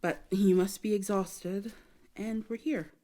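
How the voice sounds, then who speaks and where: A woman voicing a gentle, lonely dark fae in an ASMR roleplay, speaking tenderly and hesitantly to the listener in formal storybook phrasing. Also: medium pitch, steady tone, slow pace, lightly acted. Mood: gentle, caring. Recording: faint background noise.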